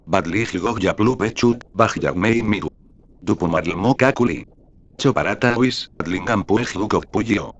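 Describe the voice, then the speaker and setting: A man voicing a group of humanoid aliens from another planet, speaking in a slow, measured, otherworldly way. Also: on the low side, lo-fi sound, slight background noise, lightly acted. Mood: cryptic.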